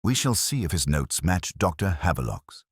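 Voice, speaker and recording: A man voicing a gentle, unhurried, dryly ironic police inspector in a mystery story. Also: deep voice, sing-song, slightly rough, no room sound, clean studio sound, heavily acted. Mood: dry, reflective. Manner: gentle, measured, merely courteous.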